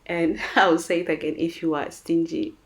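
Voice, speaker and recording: A woman's voice: fairly low pitch, steady tone, normal speed. A woman with a French accent hosting a cooking channel, talking viewers through a recipe in a chatty, encouraging way. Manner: chatty, encouraging, addresses viewers as guys and friends. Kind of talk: recipe voice-over.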